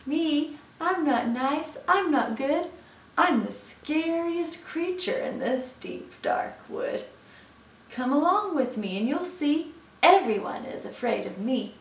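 A woman's voice: sits high, lively intonation, slow, echoey room, distant mic, voice pushed up, heavily acted. A woman voicing a small, clever, boastful mouse in a storybook, speaking with emphasis. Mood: bold, boastful.

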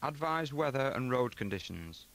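A man's voice: mid-range voice, very animated, moderate pace, a bit bright. A man reading out brief scripted definitions as an announcer on an instructional tape.

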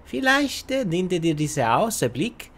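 A man's voice: high-pitched, very animated, measured pace, fairly bright tone, husky, heavily acted. An actor playing a courteous, scheming foreign male villain who speaks hesitantly in broken grammar, in a parody audio drama. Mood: coaxing.

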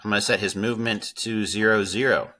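A man's voice: lowish voice, fairly even intonation, a little fast, sharp tone, slight background noise. A man in his thirties giving an informal, step-by-step screen tutorial as a coding teacher, often checking in with the listener. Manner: informal, instructive, checks in with "right?" and "okay?". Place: indoors at a computer.

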